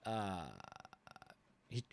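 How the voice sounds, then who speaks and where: A woman streamer reading a visual novel aloud with expressive, dramatic storytelling. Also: drawn-out words, dry recording. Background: game music.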